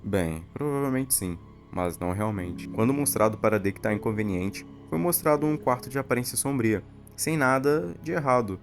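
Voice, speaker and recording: A man's voice: mid-range voice, lively intonation, normal speed, few pauses, faint music behind. A man with a Brazilian accent, telling a horror story as scripted narration for an explainer video.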